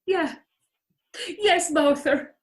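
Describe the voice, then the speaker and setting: A woman playing a gentle, grieving mother in a radio play, wistful and deliberate. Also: high voice. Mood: wistful, tender. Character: grieving, gentle working-class mother and wife. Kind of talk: radio drama acting.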